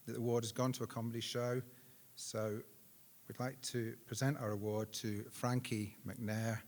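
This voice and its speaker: A middle-aged man, a charity director, giving an informal, self-deprecating and halting unscripted speech to announce an award. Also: medium pitch. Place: large reverberant hall at a live ceremony. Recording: echoey hall with long reverb.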